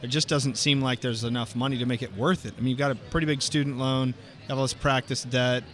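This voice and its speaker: A man's voice: medium pitch, steady tone, fast. Guy hosting a podcast, chatty and loose, keeps restarting his sentences. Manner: friendly, loose, many restarts. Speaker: podcast host. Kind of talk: casual interview chat.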